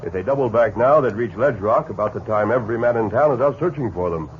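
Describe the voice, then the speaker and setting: A man's voice: fairly low pitch, expressive, fast, lightly acted. A man playing a resolute, logical masked western hero with formal, authoritative phrasing in a 1950s radio drama. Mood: calm, commanding. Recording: dull, noisy old radio recording.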